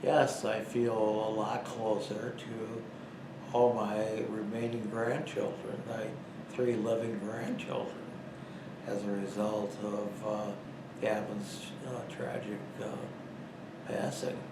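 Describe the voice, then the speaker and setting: An older man, a grandfather, speaking haltingly in an interview, with hesitations. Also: lowish voice, little pitch movement. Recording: echoey room with background noise.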